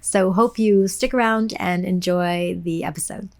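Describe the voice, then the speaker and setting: A woman's voice: mid-range voice, fairly even intonation, unhurried. Podcast host: woman, friendly and eager, mid-range voice, repeats words as she restarts. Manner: friendly, enthusiastic, with stammered restarts. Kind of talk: podcast interview hosting.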